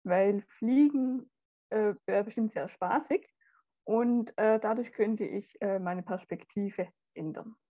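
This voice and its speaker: A woman of twenty-six speaks in a mellow, warm voice. She talks casually and haltingly, with filler sounds between her words. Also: medium pitch. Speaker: student podcast co-host. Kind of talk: casual chat.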